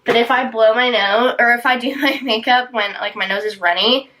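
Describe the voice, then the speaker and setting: A woman's voice: fairly high pitch, fairly even intonation, normal speed, fairly bright tone, low-quality recording. A young woman vlogger with a congested, sick-sounding voice, chatting casually with lots of ums and likes while doing her makeup. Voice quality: tight, dry throat. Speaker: beauty vlogger. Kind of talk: casual get-ready-with-me chat.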